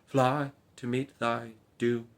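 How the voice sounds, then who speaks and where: A man voicing a grim stranger with a hollow voice, muttering solemn warnings slowly. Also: medium pitch, flat delivery, gravelly. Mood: ominous.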